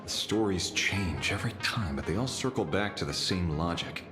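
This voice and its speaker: A man playing a shrewd, composed private detective in a video game, speaking in a measured, dry way. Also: low-pitched, animated. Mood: pensive. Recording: echoey, over music.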